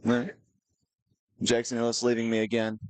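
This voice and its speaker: A man gives easygoing commentary on a school basketball game. He rambles and pauses on fillers. His voice sits mid-pitch and sounds dry and close. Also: no room sound. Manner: relaxed, rambling, hesitant fillers. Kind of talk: casual sports commentary.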